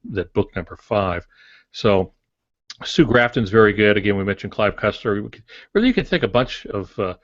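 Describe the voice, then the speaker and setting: A man's voice: on the low side, dry recording. An older man, chatting casually about books as a podcast co-host. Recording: dull lo-fi podcast audio.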